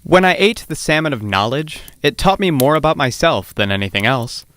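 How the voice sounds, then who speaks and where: A man's voice: a little high, very animated, brisk, fairly bright tone. A man playing a sincere, contented storyteller and jester with an articulate, playful delivery in an audio drama.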